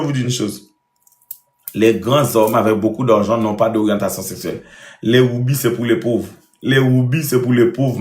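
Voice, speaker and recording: A man chatting casually as host, with a theatrical, boastful manner. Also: mid-range voice. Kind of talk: casual chat. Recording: roomy, echoey sound.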